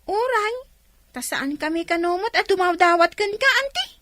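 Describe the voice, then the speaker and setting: A woman's voice: very high-pitched, expressive, moderate pace, pushed and bright, no room sound, studio quality, full character voice. A woman playing an outspoken young village girl who answers back plaintively in a comedy radio drama. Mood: defiant, answering back.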